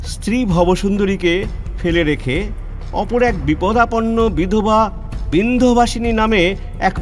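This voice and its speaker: Man acting high-voiced, stern, lonely ageing reformer. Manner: declamatory, emotional, slow dramatic pauses. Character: ageing, lonely, stern, principled male scholar and reformer, wounded. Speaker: stage and audio-play actor. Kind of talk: theatrical Bengali audio-play monologue. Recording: music under the voice. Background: background music.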